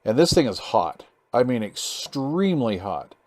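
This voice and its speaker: A man with a mid-pitched voice presents a hands-on hobby demonstration in a casual, unscripted way. His delivery is halting and easygoing, with folksy phrasing and a habit of muttering to himself. The sound is dry, with little room echo. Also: big pitch swings, a little mellow.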